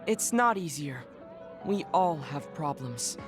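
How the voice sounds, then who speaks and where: An actor playing a calm, self-effacing young man in a video game, polite and composed. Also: a little high, slow pace, soft background music. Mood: calm, wistful.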